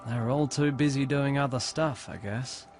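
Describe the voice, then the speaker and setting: A man narrating an animated short film as a laid-back young man, recalling the past in an understated, conversational, wry way. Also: medium pitch, moderate pace, music in the background, close to an everyday voice.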